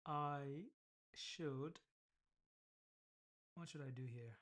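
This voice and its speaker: A man's voice: a little high, drawn-out words, a bit bright. Hesitant Indian-accented man thinking aloud. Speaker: vlogger building an app. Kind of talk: casual screen-recorded coding vlog.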